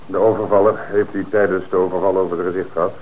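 Man doing a police commissioner, lowish steady voice, calm and in charge, faint hiss. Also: fast, lightly acted, poor audio. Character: middle-aged male police commissioner in charge. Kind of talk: radio play acting.

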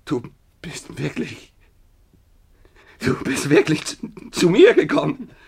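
An actor playing a lovesick, obsessive young gambler in a German radio drama, with urgent theatrical delivery. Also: male voice, a little high, wildly swooping pitch, takes it slowly, gravelly, a little mellow, slightly rough, heavily acted. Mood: overwhelmed. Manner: theatrical, urgent, breathless whispers to outbursts. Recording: noisy vintage radio play recording.

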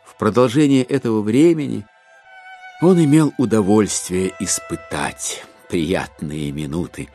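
A male narrator with a fairly low voice reads literary prose. His delivery is theatrical and very varied in melody, with soft music underneath. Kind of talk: expressive literary narration of a classic story.

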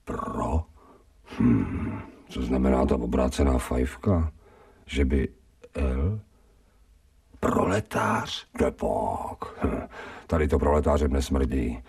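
Deep-voiced, fawning stray dog. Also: male voice, animated, vocal fry, pauses often, a little mellow, husky, no room sound, distant mic, exaggerated voice. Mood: sardonic musing. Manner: slangy, servile to his master, cynical in asides. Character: fawning, streetwise, sardonic stray male dog with human thoughts. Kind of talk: radio drama acting.

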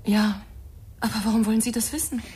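A woman's voice: a little high, steady tone, a little fast, darkish tone, slightly rough. An actress playing a distraught, defensive mother under police questioning in a German radio crime drama, hesitant and strained. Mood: anxious, hesitant.

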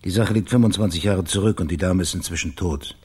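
An actor in a German radio crime drama playing a polite, persistent investigator with a matter-of-fact way of asking questions. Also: male voice, low voice, quick pace, darkish tone, breathy edge. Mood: polite, probing. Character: male investigator posing as biographer, polite, probing.

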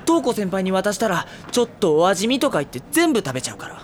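An actor playing a modest teenage schoolboy in an audio drama, dry, self-conscious and easily flustered. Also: male voice, high voice, sing-song, fast. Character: modest, exasperated teenage schoolboy in a literature club. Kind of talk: anime-style audio drama acting. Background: faint background music.

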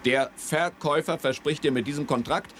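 An actor playing a cocky, persuasive commodities broker in a patronising, grandiose manner, in a 1970s German radio play. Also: male voice, fairly high pitch, fairly quick, background noise, natural voice. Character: slick, cocky male commodities broker talking up quick riches.

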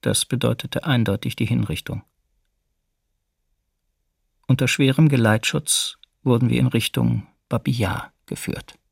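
An actor playing a camp survivor who soberly gives first-person testimony in a documentary radio drama. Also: male voice, mid-range voice, unhurried, gravelly, long pauses, slightly rough, dry recording, studio quality, exaggerated voice. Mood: grave recollection. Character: male camp survivor soberly recounting his ordeal.

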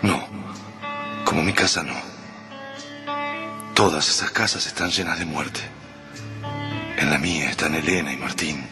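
Desperate argentine dad in an alien invasion radio play, frantic for his family, loud music under him. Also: extremely low pitch, unhurried, pauses often. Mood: anxious, brooding. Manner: anguished, urgent, swinging from muttering to screaming. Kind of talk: Spanish-language radio drama acting.

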